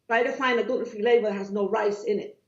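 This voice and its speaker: A woman with a Cuban accent explains things emphatically, and a gravelly fry runs through her speech. The audio is dull and lo-fi, and it echoes around the room. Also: medium pitch, steady tone, fast talker. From Cuba. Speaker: guest doctor. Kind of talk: conversational interview.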